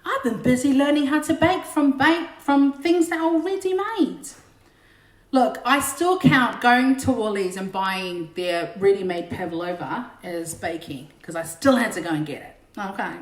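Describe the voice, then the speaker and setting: A woman's voice: fairly high pitch, lively intonation, a little slow. A woman, a singer and singing teacher, chatting casually and joking between songs on a home livestream. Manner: chatty, joking, self-deprecating, big belting voice. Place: home room during lockdown. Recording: roomy echo, faint backing music.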